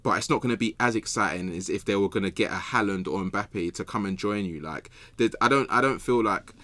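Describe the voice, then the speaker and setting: Deep-voiced young London man debating football. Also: brisk, fairly bright tone, no echo. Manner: slangy, emphatic, conversational. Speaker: podcast guest pundit.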